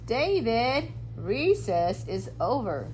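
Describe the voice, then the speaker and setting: A woman's voice: on the high side, very animated, takes it slowly, darkish tone, pitched above the performer's own voice. A woman voicing a stern but kind schoolteacher in a children's picture book, speaking emphatically. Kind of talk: picture-book read-aloud for young children. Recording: clearly noisy room recording.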